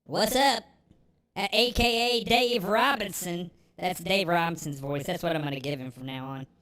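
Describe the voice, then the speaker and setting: A man doing a squeaky little-guy voice. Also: moderate pace, pushed and bright. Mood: joking. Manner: high, chirpy, jokey impression of a friend. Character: squeaky little guy, a veterans' club regular.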